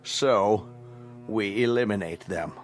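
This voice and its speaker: An actor in a radio drama playing a smug authoritarian chancellor, speaking in a condescending, oratorical manner. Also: male voice, lowish voice, slow, faint music behind. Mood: cold, smug. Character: smug, authoritarian state chancellor, cold and mocking.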